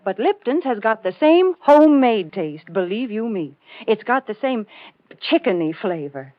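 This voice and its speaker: A woman playing a prim, chatty, folksy homemaker pitchwoman in 1940s radio style. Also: sing-song, moderate pace, no echo. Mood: cheerful selling. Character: chiding, wholesome homemaker pitchwoman. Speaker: commercial announcer and foil to the host. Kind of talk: 1940s radio advertising banter. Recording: dull 1940s radio recording.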